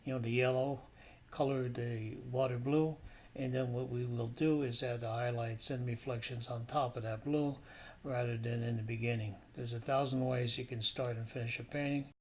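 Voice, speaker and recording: A middle-aged man talks through a painting lesson in a casual, meandering way. His voice sits at an ordinary male pitch, and he thinks aloud as he goes. Some background noise runs under the recording. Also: moderate pace. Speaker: painting instructor. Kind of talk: casual painting demonstration voice-over. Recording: clear steady background noise.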